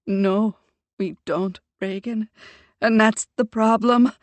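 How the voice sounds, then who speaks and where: A woman's voice: medium pitch, fairly even intonation, slow pace, no room sound, voice pushed up, natural voice. Stern Jamaican mother, read by a woman. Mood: earnest. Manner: formal, commanding, lecturing. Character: proud composed older Jamaican mother. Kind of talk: audiobook character voice.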